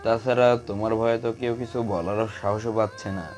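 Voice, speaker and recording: A man's voice: on the low side, fairly even intonation, a little fast, a little mellow, lightly acted. A man playing a familiar, reassuring associate of a mafia boss in an audio story. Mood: concerned, reassuring. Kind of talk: amateur Bengali audio-story acting.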